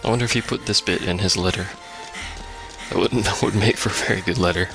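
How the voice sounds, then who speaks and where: A man's voice: deep voice, fast. A man giving casual, sarcastic commentary as he plays a video game. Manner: sarcastic, wry. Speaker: let's play host. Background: game music.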